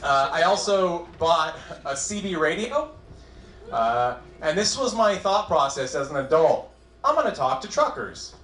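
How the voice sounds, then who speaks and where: A man's voice: on the high side, very animated, measured pace, a bit bright, a little hiss or hum. A man in his twenties from New England with a New England accent, doing a wry, self-deprecating stand-up set on a comedy club stage. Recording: echoey club room.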